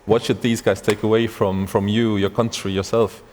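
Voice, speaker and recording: A man moderating a panel in a brisk, informal way. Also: lowish voice, level tone, darkish tone. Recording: reverberant conference hall.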